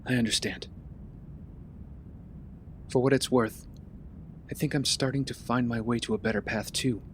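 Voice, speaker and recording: A man's voice: mid-range voice, fairly even intonation, moderate pace, slight background noise, natural voice. A man playing an earnest young vigilante in an audio drama, casual with a wry edge. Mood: sincere, subdued.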